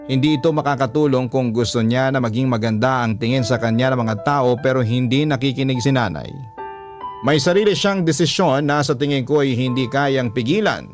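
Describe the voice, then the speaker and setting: A man narrates a listener's letter in the first person, steady and earnest. His voice sits in an ordinary middle pitch. Soft music plays faintly behind him throughout. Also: brisk. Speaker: radio drama narrator.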